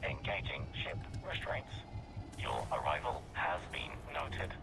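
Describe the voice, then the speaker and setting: A man's voice: extremely low pitch, huge pitch swings, measured pace, gravelly, full character voice. A man voicing a formal space station traffic controller in a video game, making procedural announcements. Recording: noisy radio-like game audio.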